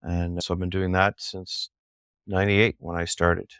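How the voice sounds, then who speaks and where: Low, smooth-voiced man. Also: level tone, a little slow, a bit bright, no room sound, clean studio sound. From Canada. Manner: enthusiastic, rambling storyteller. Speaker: podcast guest. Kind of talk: casual chat.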